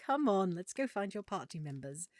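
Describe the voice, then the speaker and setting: A woman playing a warm, teasing half-elf merchant in an intimate audio roleplay, speaking playfully and affectionately. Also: lowish voice, big pitch swings, moderate pace, no echo. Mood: playful, friendly.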